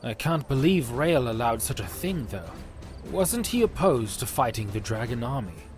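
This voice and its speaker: A man voicing a thoughtful male knight leader who speaks in a measured, reassuring way. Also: medium pitch, big pitch swings, darkish tone, soft background music, voice pushed up, close to an everyday voice.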